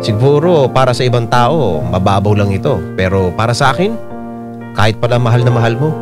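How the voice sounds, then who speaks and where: A man's voice: medium pitch, expressive, brisk, close to an everyday voice. An actor playing a troubled husband, narrating his marriage story with a Filipino accent in an earnest, confiding radio drama style. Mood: regretful. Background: piano and keyboard music.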